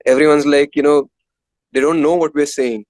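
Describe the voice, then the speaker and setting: A man's voice: a little high, steady tone, moderate pace, darkish tone, dry recording, low-quality recording. Candid Indian man, close dry sound. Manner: emphatic, leans on filler phrases like "you know". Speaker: musician, interview guest. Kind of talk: candid conversational interview.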